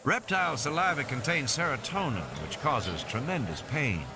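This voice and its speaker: A confident American field biologist in a novel, voiced by a male narrator. He is friendly and explains things with assurance, his pitch raised and moving freely over clear noise. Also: big pitch swings, moderate pace. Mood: assured, easygoing.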